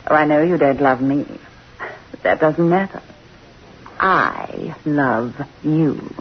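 A woman's voice: low voice, lively intonation, takes it slowly, heavily acted. An actress playing a possessive, unloved wife in a radio horror drama, blunt and pointed in her delivery. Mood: resigned, possessive. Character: domineering, possessive, unloved wife, big and bitter. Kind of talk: 1960s radio horror acting. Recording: muffled, noisy old radio recording.

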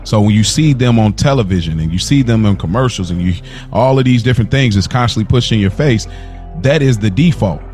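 A man's voice: lowish voice, animated, a little fast, darkish tone, soft background music. A man co-hosting a podcast, speaking earnestly in long run-on stretches and repeating himself as he builds a point. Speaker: podcast co-host. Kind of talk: casual chat.

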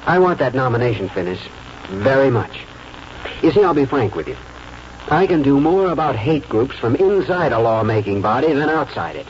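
A man's voice: medium pitch, very animated, a little hiss or hum, natural voice. Man playing a principled lawyer, muffled old radio. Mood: quietly firm. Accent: American. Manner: earnest, measured, oratorical. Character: principled, idealistic small-town lawyer with quiet conviction. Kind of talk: 1940s radio acting and first-person narration. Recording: muffled old radio broadcast, music behind.

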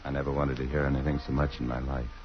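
A man's voice: rumbling low, level tone, fast talker, soft background music. An actor in an old-time American radio drama, playing a restless middle-aged married businessman who is earnestly in love. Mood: earnest, troubled. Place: radio theatre stage. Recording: muffled, hissy vintage radio recording.

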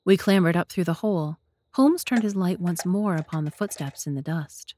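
A woman's voice: fairly low pitch, normal speed, a little mellow, slight background noise. A woman narrator reading a scripted story.

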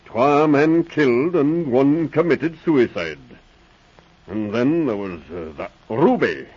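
A man's voice: mid-range voice, expressive, a little mellow, breathy edge, highs cut off. An actor playing a canny, musing Scottish man in a radio drama, with a Scottish accent. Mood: curious, amused. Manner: slow, musing, sly. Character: canny, chuckling Scottish friend of the inspector. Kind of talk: 1940s radio drama acting.

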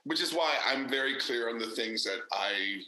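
A man's voice: medium pitch, animated, moderate pace, pushed and bright, echoey room, low-quality recording. A man, an actor and director, speaking candidly and emphatically in a casual interview, with many fillers and restarts.

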